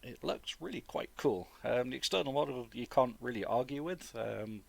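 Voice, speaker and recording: A man, chesty with a cold, giving relaxed, informal and rambling flight simulator commentary as a video host. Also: mid-range voice, normal speed, creaky. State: getting over flu, chesty cough. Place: dry close-miked voice-over indoors.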